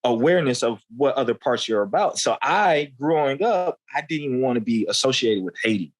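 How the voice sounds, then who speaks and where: A man's voice: mid-range voice, animated, a little fast. Talkative young man, casual and candid. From United States. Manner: casual, slangy, repeats "you know what I'm saying". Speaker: guest. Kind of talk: candid conversational storytelling.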